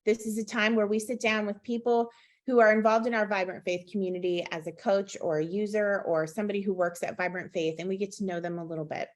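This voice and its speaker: A woman's voice: mid-range voice, steady tone, quick pace. A woman hosting a conversational interview, speaking as the one who asks the questions. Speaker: interview host.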